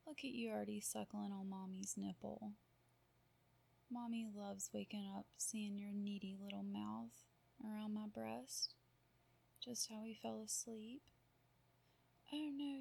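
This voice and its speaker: Breathy, creaky, softly whispering woman. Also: medium pitch, steady tone. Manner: soft cooing, half-whispered, drawn-out murmurs. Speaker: roleplay performer. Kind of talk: intimate whispered audio roleplay.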